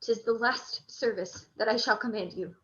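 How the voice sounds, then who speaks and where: A woman's voice: a little high, moderate pace, a bit bright, muffled recording, lightly acted. A woman playing a dying, noble warrior lord in a Shakespearean radio play. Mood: dying, tender.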